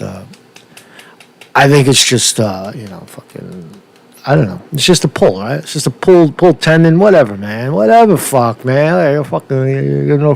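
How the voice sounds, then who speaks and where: A man's voice: medium pitch, gravelly, darkish tone. A man giving casual, rambling news commentary as a host, swearing often, with slangy, repetitive and stumbling delivery. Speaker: host of his own news commentary show.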